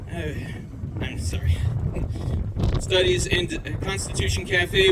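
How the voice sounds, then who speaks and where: Male emcee, high-pitched voice, reading from prepared notes at an outdoor event with faint traffic noise. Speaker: master of ceremonies. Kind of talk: reading a speaker introduction aloud. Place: outdoors at a downtown festival.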